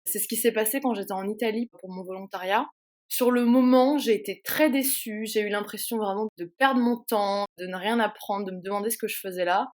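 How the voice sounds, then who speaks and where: A woman's voice: mid-range voice, steady tone, fairly quick, a little mellow. A woman in her twenties from France, an interview guest speaking earnestly and reflectively with fillers. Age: 20s. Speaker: guest, student. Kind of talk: casual interview talk.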